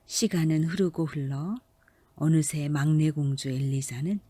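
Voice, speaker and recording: Female children's storyteller: warm, mellow timbre, lowish pitch, expressive careful reading. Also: unhurried, slightly rough, no echo. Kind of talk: children's fairy tale narration.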